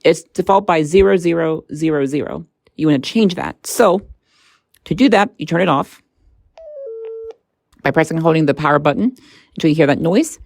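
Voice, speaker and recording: Low-pitched casual woman reviewer, close dry sound. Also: normal speed, creaky, long pauses, darkish tone, dry recording. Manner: informal, tentative, thinking aloud. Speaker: gadget reviewer and presenter. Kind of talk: casual hands-on product review.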